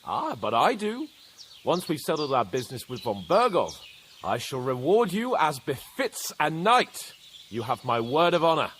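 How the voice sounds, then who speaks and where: Cocky young noble knight, game cutscene; swaggering, jesting, bright-pitched and lively. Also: male voice, slight background noise. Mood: brisk, lordly. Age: young adult. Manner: jesting, lordly and quick-witted. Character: cocky young male knight, joking and boastful. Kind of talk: video game cutscene acting.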